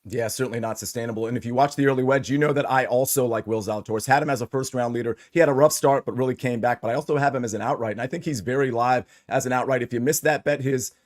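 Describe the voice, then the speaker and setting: A man's voice: medium pitch, quick pace, hardly any pauses. A man hosting a sports betting show, upbeat and chatty, with a slightly scratchy voice. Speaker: host.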